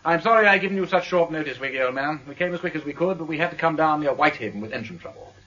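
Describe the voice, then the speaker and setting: An actor playing a gallant English gentleman detective with a crisp, courteous, formal upper-class accent in a British radio drama. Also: a little high, expressive, very fast, lo-fi sound. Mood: breezy, apologetic.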